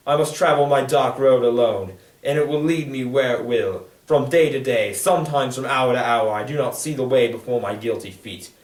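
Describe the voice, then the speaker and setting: A man's voice: mid-range voice, moderate pace, hardly any pauses, close to an everyday voice. Echoey, anguished proud noblewoman acted by a man. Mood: bleak remorse. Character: proud, anguished noblewoman confessing to her secret daughter. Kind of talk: dramatic literary reading. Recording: echoey room, distant microphone.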